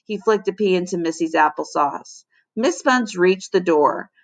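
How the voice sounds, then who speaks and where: Lady teacher reading a kids book, animated and dramatic, voice goes up and down. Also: on the low side, a little slow, creaky. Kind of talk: expressive children's storybook narration.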